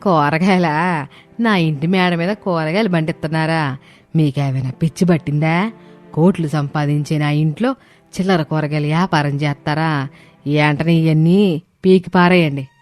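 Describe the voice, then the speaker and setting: A woman playing a haughty, sharp-tongued rich older mother-in-law who scolds imperiously in a dramatized story. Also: low voice, steady tone, moderate pace, pauses often, faint music behind, close to an everyday voice. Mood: outraged.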